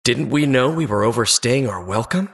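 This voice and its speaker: A man's voice: mid-range voice, expressive, moderate pace, vocal fry, a bit bright, husky, full character voice. A man playing an earnest adventurer who narrates childhood dungeon exploits for a video game in a suspenseful, wistful storybook manner. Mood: wistful, dramatic.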